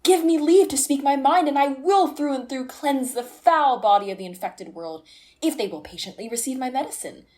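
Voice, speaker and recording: Woman as a high-voiced melancholy philosopher lord. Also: lively intonation, moderate pace, darkish tone. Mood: eager, mocking. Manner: theatrical, declamatory verse delivery. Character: melancholy, cynical wandering lord turned philosopher. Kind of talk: Shakespearean radio play acting.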